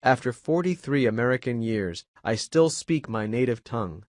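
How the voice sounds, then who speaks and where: A man's voice: medium pitch, lively intonation, normal speed, darkish tone, dry recording, clean studio sound, close to an everyday voice. A man voicing a stubborn, dignified old grandfather in a storybook reading. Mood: reflective.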